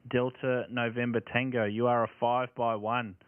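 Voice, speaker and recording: A man's voice: mid-range voice, flat delivery, normal speed, clean tone, no room sound. An Australian man working as an amateur radio operator, making calls and exchanging signal reports in phonetic radio jargon. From Tasmania, Australia. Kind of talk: ham radio calling, phonetic call signs and signal reports. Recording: tinny band-limited audio with radio static.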